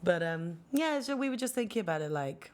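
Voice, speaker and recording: A woman's voice: fairly low pitch, sing-song, a little fast. A young woman hosting a casual podcast chat, talking loosely and trailing off mid-thought with fillers. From England. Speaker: podcast host.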